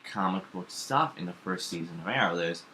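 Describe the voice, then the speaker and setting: A man co-hosting a casual talk show, giving opinions in an animated, sarcastic manner. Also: low-pitched, fairly quick, slight background noise. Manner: animated, sarcastic, acts out mock dialogue. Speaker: co-host of a comics and TV talk show.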